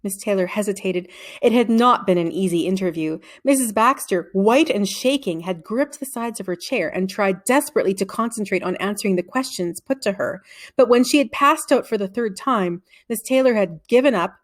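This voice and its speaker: A woman narrating a children's novel aloud in an expressive storytelling way. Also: mid-range voice, brisk. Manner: expressive storytelling with acted dialogue.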